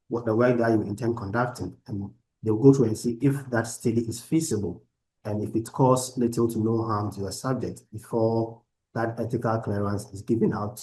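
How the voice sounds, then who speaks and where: A man lecturing online from his laptop, explaining research methods in a halting way with many restarts. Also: fairly low pitch, darkish tone, poor audio. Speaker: university lecturer. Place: at a laptop on a video call. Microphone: laptop microphone.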